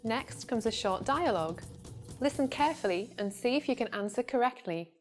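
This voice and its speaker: A woman introduces a listening quiz in an upbeat, encouraging way. Her voice is a little high, with faint music behind her. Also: animated, normal speed. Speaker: quiz host.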